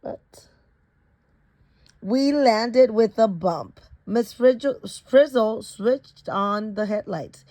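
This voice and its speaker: A woman's voice: a little high, extremely slow, warm tone. A woman reading a children's science storybook aloud, stopping now and then to repeat or correct words. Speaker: reader of a children's picture book.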